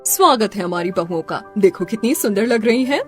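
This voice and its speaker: A woman voicing a warm, dignified middle-aged mother in a Hindi cartoon story, tender and measured. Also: medium pitch, animated, faint music behind, natural voice. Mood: approving.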